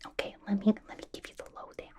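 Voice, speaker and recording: A woman's voice: rapid-fire, dark tone, close to an everyday voice. A woman playing a manic, chatty, mischievous young female villain in an asylum, in a playful, slangy ASMR roleplay whisper. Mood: gossipy, conspiratorial. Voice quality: breathy whisper. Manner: playful, slangy, conspiratorial gossiping.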